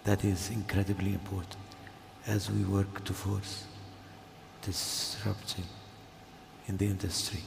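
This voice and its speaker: Deep gravelly older man in a hall. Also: level tone, noisy background. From Jordan. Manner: slow and measured, with pauses. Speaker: guest speaker. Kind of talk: formal speech at an event. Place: large hall. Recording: echoey hall, heard from a distance.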